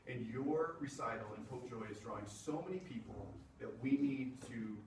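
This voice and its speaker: A man's voice: medium pitch, normal speed, a little mellow. A man giving a casual classroom lecture on sound equipment, explaining with plenty of fillers and asides, recorded from across the room. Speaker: music technology presenter. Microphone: room mic from a distance. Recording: echoey classroom from far off, faint hiss.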